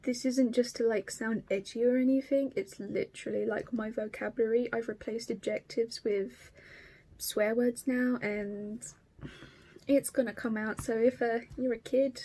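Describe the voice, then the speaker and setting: A woman's voice: a little high, unhurried, dark tone. A woman in her twenties with an Estuary English accent, from Kent, chatting to camera about her craft in a rambling, sweary way. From Kent, England. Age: 20s. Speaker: craft vlogger. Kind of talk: casual chat to camera.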